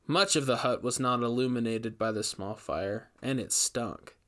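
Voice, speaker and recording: A male investigator, voiced by a man reading aloud recounts a grim ordeal in a horror audio story. His mid-pitched delivery is measured and dramatic, with emphatic first-person storytelling. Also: a bit bright, natural voice. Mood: tense, recounting.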